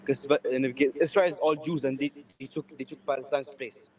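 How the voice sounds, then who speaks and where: A man's voice: mid-range voice, steady tone, a little fast, a little hiss or hum. A random stranger on a video chat, a man who keeps hurling curses and insults in a hostile, combative way. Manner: hostile, cursing, shouting insults. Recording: distant voice through a video call.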